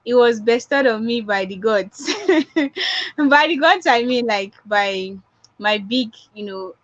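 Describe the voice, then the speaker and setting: Woman, Nigerian accent, fairly high voice, emphatic coaching talk, dull lo-fi sound. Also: expressive, slow, no room sound. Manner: emphatic, repeats points for effect. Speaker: public speaking coach. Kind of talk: motivational online talk. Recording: dull lo-fi online audio.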